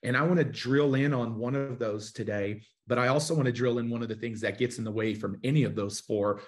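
A man's voice: mid-range voice, brisk. A man in his forties hosts a live online interview show from his home studio. He talks with eager enthusiasm and gestures freely, his voice sitting in an everyday middle range as it comes over a video call. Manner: enthusiastic, gestures while talking. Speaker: host. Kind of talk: casual interview.

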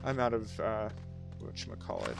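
A man's voice: on the low side, wildly swooping pitch, moderate pace, vocal fry, soft background music. A man co-hosting a game recording, talking casually and hesitantly, with many fillers. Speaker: let's-play co-host. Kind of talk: casual gaming chat.

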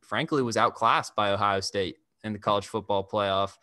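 A young man hosting a sports podcast over a video call, talking in a measured, analytical way at a desk microphone. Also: lowish voice, bright, forceful tone, dry recording, studio quality. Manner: measured, analytical, restarts phrases. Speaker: podcast host. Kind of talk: casual sports talk. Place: indoor room.

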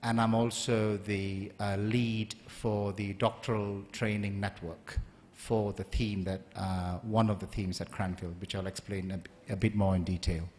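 A man in his forties, from Malaysia, giving a polite, measured university lecture at a stand microphone with frequent ums and uhs. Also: on the low side, flat delivery, darkish tone, slight background noise. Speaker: guest lecturer. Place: large lecture hall, standing at a lectern. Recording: long hall reverb.

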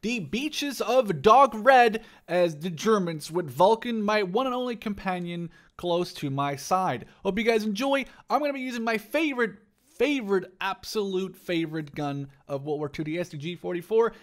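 A man's voice: high-pitched, expressive, normal speed. A man in his twenties giving casual, reactive commentary while playing an online shooter with a friend. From Netherlands. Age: 20s. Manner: upbeat intro, then chatty and reactive while playing. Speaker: gaming YouTuber.

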